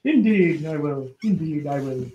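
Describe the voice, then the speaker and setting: Radio-play villain played by an amateur: vain, vengeful male court official, slow, halting declamation, roomy sound. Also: mid-range voice, animated, a little hiss or hum, natural voice. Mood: scheming. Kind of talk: amateur old-time radio play acting. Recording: dull, roomy amateur recording.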